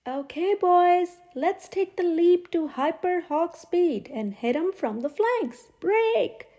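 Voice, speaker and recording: A woman voicing a gruff, commanding old male pig colonel in a children's storybook, grumbling out orders. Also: very high voice, animated, slow, mellow, pitched above the performer's own voice, full character voice. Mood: rallying. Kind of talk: children's storybook read-aloud.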